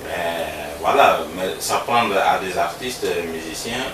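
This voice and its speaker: A man from Congo, a musician, answering interview questions in a relaxed, halting way that repeats words. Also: low voice. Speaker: musician guest. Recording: roomy sound from a distance with background noise.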